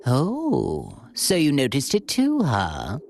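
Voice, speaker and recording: A man's voice: mid-range voice, huge pitch swings, takes it slowly, gravelly, slight background noise, full character voice. A man voicing a cruel, refined alien tyrant in a video game, with haughty, sneering, formal speech. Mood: scheming, commanding. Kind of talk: theatrical video game voice acting. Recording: loud music behind the voice.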